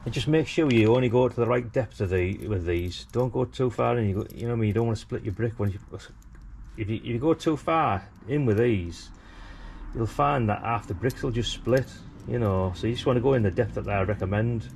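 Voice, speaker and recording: A man's voice: mid-range voice, brisk, darkish tone. A British man giving a casual, chatty hands-on how-to commentary in an informal, easygoing manner. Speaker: DIY tutorial presenter. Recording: clearly noisy on-location recording.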